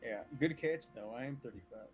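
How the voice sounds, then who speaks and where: A man's voice: mid-range voice, a little fast, soft background music, low-quality recording. A man bantering in character as a police chief in a roleplay, often stumbling over his words.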